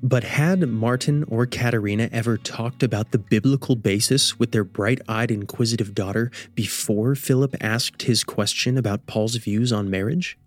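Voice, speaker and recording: A man's voice: mid-range voice, moderate pace, few pauses. A man reading scripted radio drama narration in a storytelling manner. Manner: storytelling, posing rhetorical questions. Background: soft plucked guitar music.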